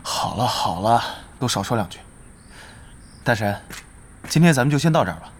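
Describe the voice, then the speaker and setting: A man acting in an audio drama as a polite, even-tempered young team captain and peacemaker. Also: mid-range voice, moderate pace, close to an everyday voice. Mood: composed, chiding. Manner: composed, polite.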